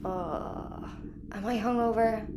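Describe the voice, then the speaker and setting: An actress playing a naive, curious spaceship crew member in a sci-fi audio comedy. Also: female voice, medium pitch, takes it slowly, noisy background, close to an everyday voice. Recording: music underneath.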